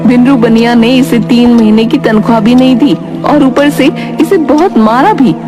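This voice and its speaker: A woman's voice: a little high, normal speed, pitched above the performer's own voice, lightly acted. A woman voicing a concerned, righteous village schoolteacher in a cartoon, earnest and insistent in her speech. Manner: earnest, insistent, scolding when crossed. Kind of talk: Hindi moral cartoon voice acting. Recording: music behind the voice.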